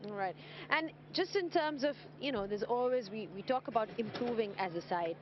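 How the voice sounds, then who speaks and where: A woman presenting a pitch-side cricket interview, asking long, measured questions. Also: lively intonation, slight background noise. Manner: measured, scripted-sounding, drawn-out questions. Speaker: sports presenter. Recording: slightly dull outdoor broadcast audio.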